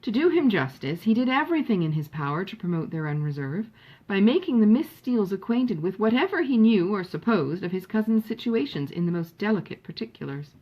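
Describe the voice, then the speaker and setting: Expressive woman narrator, slightly husky. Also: mid-range voice, a little fast, muffled recording. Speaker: audiobook reader.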